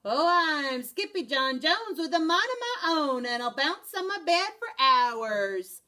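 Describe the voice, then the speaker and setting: A woman voicing an excitable little male kitten who pretends to be a Chihuahua sword fighter, in a storybook reading. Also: sits high, measured pace, heavily acted. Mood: playful. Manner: breathless and bouncy, then tiny and hushed.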